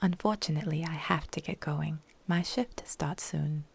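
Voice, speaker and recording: Woman reading a polite, soft-spoken young man. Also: deep voice, normal speed, vocal fry, slight background noise.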